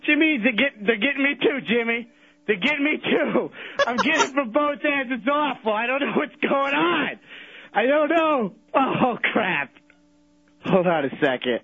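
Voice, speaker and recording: A high, quavery old caller. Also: male voice, animated, unhurried, vocal fry, full character voice. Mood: pained. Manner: fussy, rambling, says odd things. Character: sweet doddering old man caller. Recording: dry, dull radio broadcast.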